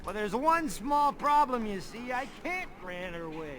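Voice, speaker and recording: A man's voice: very high voice, sing-song, measured pace, crowd noise, full character voice. An actor playing a drunken elf man singing a bawdy tavern ditty in a fantasy game. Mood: tipsy, bawdy. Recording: game audio with clear background noise.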